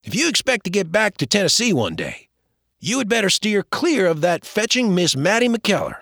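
A man's voice: high voice, huge pitch swings, normal speed, creaky, no room sound, studio quality, voice pushed up, heavily acted. A man doing a teasing young frontier pal. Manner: playful, gently mocking. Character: good-humored teasing young frontier friend.

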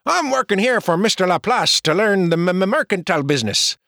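A man voicing a stuttering excitable young clerk. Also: high-pitched, brisk, dry recording, studio quality, pitched above the performer's own voice, full character voice. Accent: French. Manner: stuttering, chattering. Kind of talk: audiobook character voice.

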